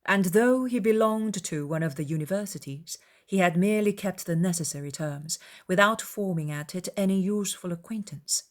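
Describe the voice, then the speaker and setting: A woman narrating a classic novel as an audiobook, in a measured, formal storytelling manner. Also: on the low side. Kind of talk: dramatized literary narration.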